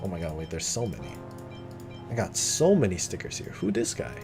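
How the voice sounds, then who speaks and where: A man streams a video game in a fairly low voice. He chats casually and thinks aloud with dry humour, while game music plays clearly behind him. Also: very animated, brisk. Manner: chatty, thinking aloud, dryly jokey. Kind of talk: casual livestream game commentary.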